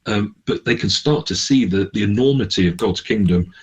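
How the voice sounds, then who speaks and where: A man's voice: lowish voice, moderate pace, slightly rough. A man answering as a radio interview guest, hesitant and often restarting as he searches for words. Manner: hesitant, searching for words with repeated false starts. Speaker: charity manager, interview guest.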